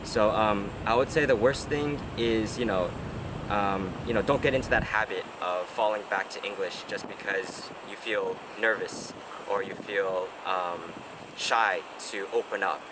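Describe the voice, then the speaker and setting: A man's voice: fairly low pitch, a little slow. A young man hosting a casual chat, talking hesitantly with lots of fillers and restarts. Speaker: host. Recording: noisy outdoor street.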